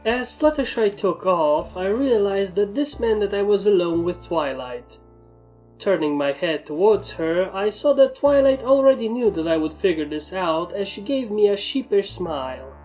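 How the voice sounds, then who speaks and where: A woman's voice: medium pitch, lively intonation, moderate pace, mellow. A woman giving a scripted narration of a story. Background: background music.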